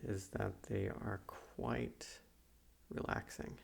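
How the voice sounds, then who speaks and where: Gravelly, low-voiced male psychiatrist, played by a man. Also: flat delivery, a little hiss or hum, full character voice. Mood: soothing, measured. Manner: hesitant, measured, clinical. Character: sinister soft-spoken male psychiatrist, clinical, falsely soothing. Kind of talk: ASMR roleplay.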